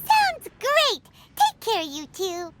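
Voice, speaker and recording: A woman playing a tiny, cheerful, chirpy fairy companion girl in a video game, excitable and childlike. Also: very high-pitched, slow, heavily acted. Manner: chirpy, excitable, refers to self in third person. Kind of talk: video game cutscene acting.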